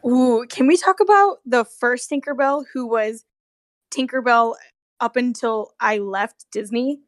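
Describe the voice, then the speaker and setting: A woman's voice: a little high, a little slow, no room sound, studio quality. A young woman telling stories in a casual, gossipy way, with slangy, sweary speech peppered with "like". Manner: sweary, slangy, heavy use of "like". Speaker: former theme park character performer telling stories.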